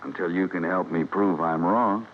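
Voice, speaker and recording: A man's voice: sits low, moderate pace, lightly acted. A man with an American accent playing a stern, stubborn police detective in an old radio drama, questioning tersely and firmly. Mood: firm, accusing. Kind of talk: golden-age American radio drama acting. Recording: muffled old radio recording, faint hiss.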